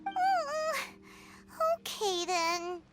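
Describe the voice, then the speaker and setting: A tiny, excitable fairy sidekick from an anime game. The voice is extremely high and squeaky, swinging up and down at an unhurried pace, with loud music behind it. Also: female voice, full character voice. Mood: puzzled, sheepish. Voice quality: squeaky, childlike. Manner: speaks of self in third person, exclaiming. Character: tiny bubbly fairy companion, childlike and excitable. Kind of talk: anime video game voice acting.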